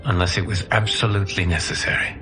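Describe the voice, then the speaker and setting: A noble protector god and war leader, played by a man for a game cutscene. The voice is low, formal and earnest, carrying resolute authority over prominent music. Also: fairly even intonation, fast, close to an everyday voice. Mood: grateful. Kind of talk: video game cutscene acting.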